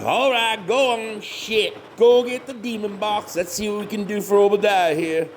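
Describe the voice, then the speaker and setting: High, cocky Southern backwoods monster hunter. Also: male voice, animated, measured pace, gravelly, a little hiss or hum, full character voice. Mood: sarcastic, grudging. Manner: sarcastic, folksy, bossy. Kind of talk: comedy horror audio drama acting.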